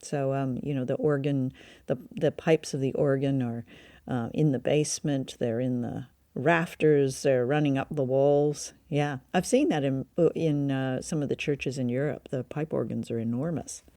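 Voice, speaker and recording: A woman's voice: rumbling low, fairly even intonation, normal speed, dark tone, no echo, clean studio sound. A woman co-hosting a podcast, chatting in an amused, conversational way about an old radio play. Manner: chatty, amused, asks questions. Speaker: podcast co-host. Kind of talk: casual review chat.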